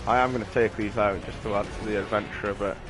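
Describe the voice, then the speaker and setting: A man's voice: fairly low pitch, steady tone, fast talker, music in the background. A British man giving casual, rambling, sweary gameplay commentary. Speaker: gaming streamer.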